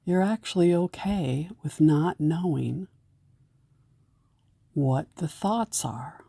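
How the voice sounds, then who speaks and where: Slow, low-voiced woman guiding meditation. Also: vocal fry, husky, no room sound. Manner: gentle, unhurried, soothing instruction. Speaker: meditation guide. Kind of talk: guided meditation narration.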